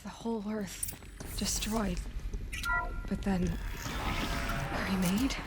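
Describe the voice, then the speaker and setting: A woman voicing a quiet questioning young hunter. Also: medium pitch, steady tone, slow, close to an everyday voice. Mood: wondering. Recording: game audio with loud music.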